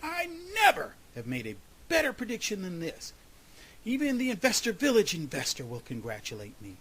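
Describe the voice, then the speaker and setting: A man voicing an excitable, self-important groundhog forecaster in an animal fable, boastful and exclaiming. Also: medium pitch, measured pace, husky. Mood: excited shouting.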